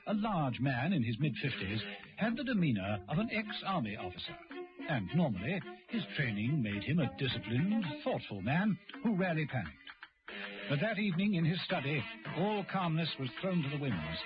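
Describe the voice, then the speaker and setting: A man's voice: a little high, very animated, normal speed. A man narrating a radio serial in a dramatic, suspenseful and precise scripted style. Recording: dull lo-fi old radio recording. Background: dramatic music.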